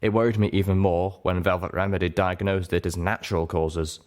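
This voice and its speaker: A man's voice: deep voice, flat delivery, fairly quick. A man reading fiction aloud as scripted audiobook narration. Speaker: reader, narrator.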